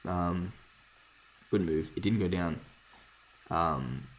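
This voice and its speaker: A man with a hoarse voice casually thinking aloud while reviewing trading charts, rambling, swearing freely and correcting himself. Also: sits low, slow. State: hoarse, lost voice from screaming. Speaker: forex trader reviewing charts.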